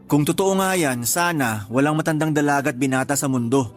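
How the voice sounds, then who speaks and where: A man's voice: on the high side, fairly quick, lightly acted. Man playing defensive, stubborn bachelor, music behind. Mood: firm, defensive. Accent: Filipino. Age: 30s. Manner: defensive, terse, irritated replies. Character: stubborn, principled 31-year-old bachelor, homebody son. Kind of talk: radio drama dialogue.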